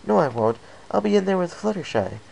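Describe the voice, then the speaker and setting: A man voicing a brave winged pony, quick-thinking and protective, with an urgent, commanding manner in a storybook reading. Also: high-pitched, very animated, gravelly, darkish tone, slight background noise, pitched above the performer's own voice, exaggerated voice, poor audio. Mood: reassuring.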